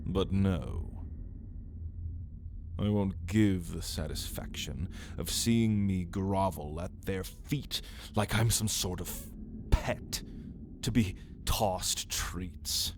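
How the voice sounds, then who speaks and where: An ancient male vampire acted out by a man speaks extremely slowly in a low, breathy voice that slips into whispers. He is a refined, hungry, fallen ruler in an intimate ASMR roleplay, menacing and theatrical, with faint noise behind him. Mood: proud, bitter. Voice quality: breathy, often dropping into a whisper. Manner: theatrical, archaic phrasing, menacing, drawn-out and whispery. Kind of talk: ASMR roleplay monologue spoken to the listener.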